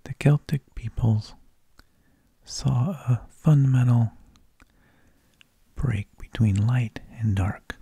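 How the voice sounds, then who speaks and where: Guy doing asmr, super dark soft husky whisper, creaky, halting with long gaps. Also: mid-range voice, no room sound. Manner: slow, halting delivery with long pauses. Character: mild, tedious energy vampire who lulls people to sleep. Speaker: ASMR host.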